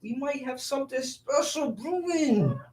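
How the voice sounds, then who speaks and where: A man's voice: very high voice, lively intonation, unhurried, a little mellow. A man acting a flustered, evasive young womanizer with a stammering, exasperated delivery in a staged play reading. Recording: roomy echo, distant mic.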